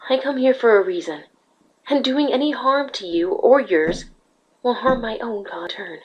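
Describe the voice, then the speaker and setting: Woman as husky, regal pony princess. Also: on the high side, lively intonation, dull audio. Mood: earnest, firm. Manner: measured, formal, composed. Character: calm regal female alicorn pony ruler, patient. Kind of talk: dramatic fan fiction reading, cartoon pony character.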